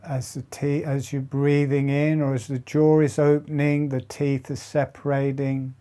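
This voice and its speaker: A man leading a guided breathing and jaw relaxation meditation in slow, soft, broken phrases with long pauses. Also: mid-range voice, flat delivery. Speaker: meditation teacher.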